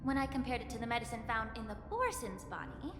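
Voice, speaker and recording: A woman voicing a composed, scholarly young alchemist in a video game, explaining things in a measured, formal way. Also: a little high, sing-song, background noise. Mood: composed, analytical. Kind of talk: scripted video game voice acting. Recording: echoey reverb, music behind.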